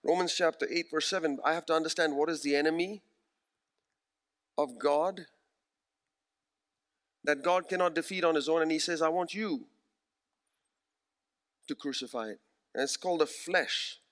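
A man in his forties preaches with an earnest, emphatic delivery, slowing down to repeat his key phrases. His voice sits a little higher than most men's. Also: fairly bright tone. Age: 40s. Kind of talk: sermon.

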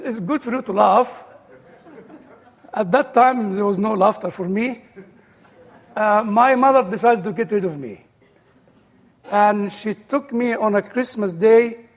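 A man's voice: high-pitched, unhurried, long pauses, in a large hall. A man with an Egyptian-British accent, an older guest speaker giving his personal testimony with dry humor.

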